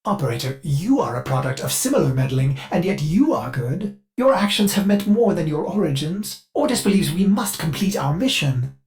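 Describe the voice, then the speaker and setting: A man's voice: on the high side, sing-song, normal speed, hardly any pauses, a little mellow, soft background music. An actor voicing a polite, eager male ship AI servant in a video game, with a formal, mannered delivery. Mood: earnest, pleading. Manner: mannered, formal, refers to itself in third person. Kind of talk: scripted game character voice lines.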